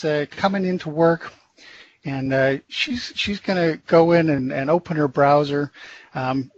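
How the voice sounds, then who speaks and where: A man giving a live software demonstration, relaxed with stumbling restarts and uhs. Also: fairly high pitch, breathy edge. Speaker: technical presenter giving a software demo. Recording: dull, faintly hissy web-meeting audio.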